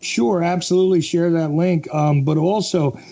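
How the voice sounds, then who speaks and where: A man hosting a podcast interview, asking steady, probing questions. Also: fairly high pitch, normal speed.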